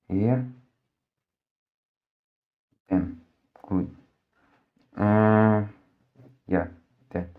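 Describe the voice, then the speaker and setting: A man with a low voice, a native Portuguese speaker, talks through a physics problem very slowly. He thinks aloud and restarts his sentences often. The audio is dull and lo-fi. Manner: halting, thinks aloud with many restarts and fillers. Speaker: physics tutor. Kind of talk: working through a problem on a board.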